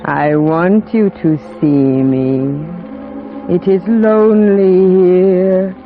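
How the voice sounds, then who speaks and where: A woman's voice: fairly low pitch, very slow, soft background music. An actress playing a languid, predatory female vampire, coaxing and sultry, in a 1940s radio drama. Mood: seductive. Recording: tinny, band-limited 1940s radio broadcast.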